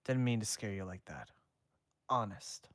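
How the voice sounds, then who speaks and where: A man voicing a calm, gentle half-ghost teenage boy in a soft, reassuring way. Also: lowish voice, measured pace, dry recording, studio quality. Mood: apologetic.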